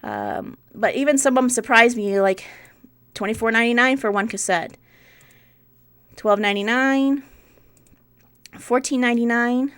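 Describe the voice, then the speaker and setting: A woman's voice: on the high side, very animated, normal speed, long pauses, fairly bright tone, smooth. A woman in her forties giving casual, unscripted explanatory narration for a vlog, thinking aloud as she goes. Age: 40s. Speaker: part-time online reseller, video host.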